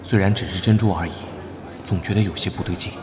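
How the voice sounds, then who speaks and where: A man playing a guarded, serious young knight vice-captain, terse and measured, in an animated comic dub. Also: sits low, noisy background, lightly acted.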